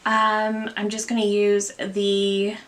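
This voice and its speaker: A woman's voice: medium pitch, monotone, slow, fairly bright tone. A young woman hosting a casual makeup tutorial, chatting candidly about products and sounding congested from allergies. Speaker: beauty vlogger.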